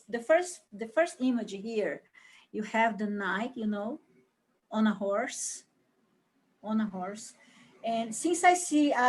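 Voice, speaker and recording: Higher-voiced woman reading tarot. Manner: halting, repeats phrases. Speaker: tarot reader. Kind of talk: improvised tarot reading on a video call. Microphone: video call.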